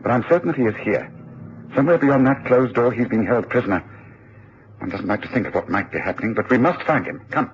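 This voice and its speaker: An actor playing a decisive detective in a radio drama, urgent, incisive and confident. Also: male voice, mid-range voice, expressive, fast talker, close to an everyday voice. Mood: determined. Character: brisk, decisive, authoritative male detective. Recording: dull radio recording, faint noise.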